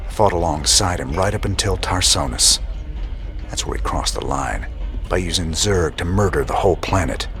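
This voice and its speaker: Sci-fi game hero: principled outlaw leader, gruff man, deep drawl, music underneath. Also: expressive, moderate pace, fairly bright tone. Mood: bitter. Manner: plainspoken, drawling. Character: gruff, principled, world-weary male rebel commander. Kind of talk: dramatic sci-fi game cinematic acting.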